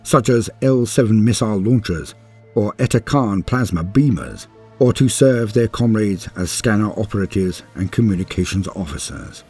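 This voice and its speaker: Deep, husky, theatrical male lore narrator. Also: moderate pace, darkish tone, faint music behind. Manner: grandiose, formal storyteller phrasing. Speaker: channel host and narrator.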